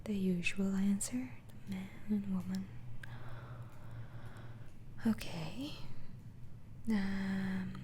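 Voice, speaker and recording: A woman whispers slowly and breathily, playing a mild, patient doctor in an ASMR roleplay. Her tone is dark and soft, with hesitant questions and small murmured acknowledgements. There is some faint background noise around the voice. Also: medium pitch. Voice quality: breathy, mostly unvoiced whisper.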